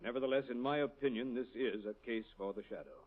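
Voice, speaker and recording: An actor playing a police commissioner, brusque and official in manner. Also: male voice, mid-range voice, quick pace, close to an everyday voice. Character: gruff middle-aged police commissioner. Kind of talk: 1940s radio acting. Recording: tinny old radio broadcast.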